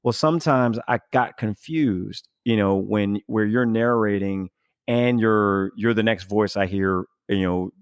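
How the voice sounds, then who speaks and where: Low-voiced man hosting, close dry sound. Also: measured pace, smooth, no room sound. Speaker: podcast host. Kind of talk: casual conversational interview.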